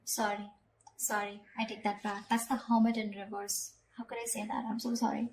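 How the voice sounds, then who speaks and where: A woman's voice: medium pitch, flat delivery, brisk, slight background noise, poor audio. A woman giving a conversational tarot reading straight to the viewer, often repeating phrases and checking in with short tag questions. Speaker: tarot reader.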